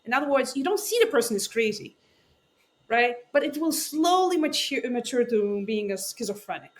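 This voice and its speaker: A woman's voice: fairly high pitch, animated, moderate pace. A woman from Ukraine with an Eastern European accent, a tech CEO telling her story earnestly on a remote call. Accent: Russian-speaking Eastern European. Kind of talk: interview storytelling.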